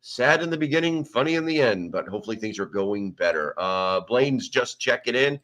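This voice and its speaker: A man's voice: medium pitch, animated, normal speed, a bit bright. An American man hosting a casual livestream, rambling as he reads names from the chat, speaking into a small microphone in a nearly bare room. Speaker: livestream host. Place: sparse, nearly empty room. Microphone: small desk microphone.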